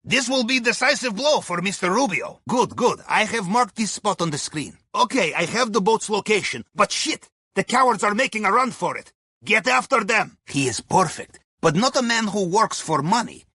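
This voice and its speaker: Bright, husky Russian heist planner. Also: male voice, high voice, lively intonation, normal speed, no room sound, heavily acted. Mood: businesslike, encouraging. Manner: clipped foreign phrasing, dryly conspiratorial. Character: shrewd, genial male Russian submarine captain's aide and heist planner. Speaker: mission guide over comms. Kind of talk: video game voice acting, mission instructions.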